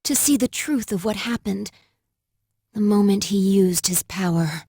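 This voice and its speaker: Soft, slightly husky android girl. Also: medium pitch, measured pace, no echo, clean studio sound. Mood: calm, resolute. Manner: precise, formal. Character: polite, earnest, calmly resolute android girl. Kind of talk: anime game English dub acting.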